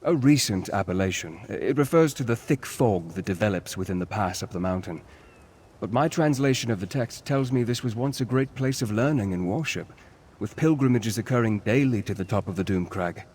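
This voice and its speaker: An actor playing a learned, cautious scholar of ancient ruins in a fantasy game, speaking in a measured, formal, earnest way. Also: male voice, fairly low pitch, lively intonation, a little mellow, breathy edge. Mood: scholarly, intent. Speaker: quest-giving game character. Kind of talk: scripted fantasy game dialogue.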